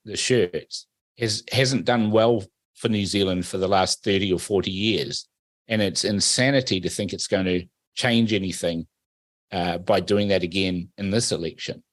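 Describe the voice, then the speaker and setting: A man born in Fiji hosts a political radio talk show with a fairly low voice. He talks in a pointed, emphatic way and leans on leading questions. The sound is dry and close to the mic. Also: no echo. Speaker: host.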